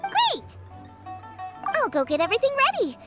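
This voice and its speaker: A woman voicing a warm, bubbly teenage girl cook in a video game, with a girlish, eager and friendly delivery. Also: extremely high pitch, normal speed, heavily acted. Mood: cheerful. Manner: friendly, expressive, enthusiastic. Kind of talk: video game character acting. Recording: children's music behind the voice.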